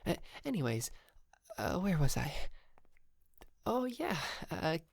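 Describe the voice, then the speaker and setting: Man acting a hesitant, creaky-voiced polite yandere. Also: fairly high pitch, very animated, slow pace, full character voice. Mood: sheepish, confessing. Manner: hesitant, stammering, soft-spoken with breathy whispered moments. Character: polite, sheepish, wealthy young male yandere kidnapper. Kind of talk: ASMR roleplay acting.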